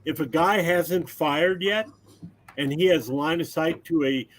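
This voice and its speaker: A man's voice: a little high, a little slow, a little hiss or hum. A man casually explaining tabletop game rules during play, in a helpful, instructive way. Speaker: guest game designer teaching the game.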